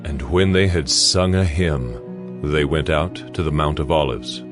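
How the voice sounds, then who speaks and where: A man narrating scripture from a script in a measured, solemn way. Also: extremely low pitch. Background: music.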